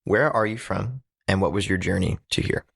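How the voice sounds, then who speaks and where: A man's voice: sits low, moderate pace, vocal fry, a bit bright, slightly rough. A man hosting a podcast, easygoing and encouraging, nodding along with frequent short replies. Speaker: podcast host. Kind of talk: casual interview chat.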